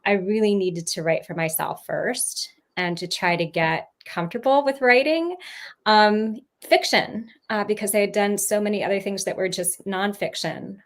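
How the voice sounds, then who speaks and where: A woman's voice: medium pitch, normal speed. A woman author and former teacher in casual livestream chat, rambling with lots of ums and laughing mid-sentence, on a video call.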